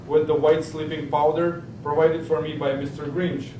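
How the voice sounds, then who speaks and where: High-pitched man as a henchman witness, echoey. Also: little pitch movement, moderate pace, lightly acted. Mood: confessing. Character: obedient male henchman confessing on witness stand. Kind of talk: amateur stage play acting. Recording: echoey distant room recording, noisy, rumbling.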